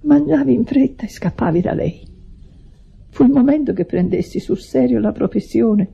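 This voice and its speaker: Husky, creaky, devoted lonely sister. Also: female voice, fairly high pitch, animated, moderate pace, mellow, exaggerated voice. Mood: reproachful. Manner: earnest, reproachful, confessional. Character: devoted, plain, lonely sister pouring out hurt. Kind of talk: Italian radio drama acting. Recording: dull vintage radio drama recording.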